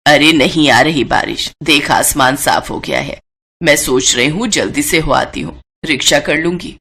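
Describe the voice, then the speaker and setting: A woman's voice: deep voice, normal speed, fairly bright tone, slightly rough, voice pushed down, heavily acted. A woman voicing an elderly Indian mother-in-law in a cartoon story, stubborn but easygoing, with a homely, coaxing manner. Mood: dismissive, assuring. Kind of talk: Hindi cartoon moral story acting.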